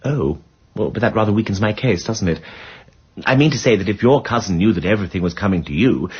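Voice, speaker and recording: A genial upper-class English gentleman detective in a radio play. His voice is very husky and lively in pitch, full of drawled period slang and polite apology. The recording is dull and muffled. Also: fast talker. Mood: apologetic probing. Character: genial, flippant aristocratic English gentleman sleuth, shrewd beneath. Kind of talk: radio drama acting.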